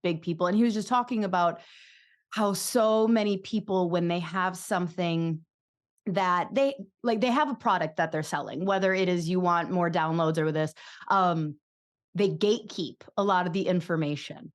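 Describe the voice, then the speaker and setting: A woman's voice: on the low side, moderate pace. A young adult woman hosting her podcast, talking casually with many filler words, restarts and giggles. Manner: chatty, lots of likes and restarts, giggly. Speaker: podcast host, chiropractor.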